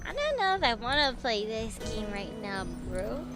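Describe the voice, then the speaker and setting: A woman's voice: fairly high pitch, moderate pace. Young vietnamese american girl streaming, slangy and hyper, voice swoops all over the place. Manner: excitable, slangy, talks to chat. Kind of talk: casual gaming stream chatter. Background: game music.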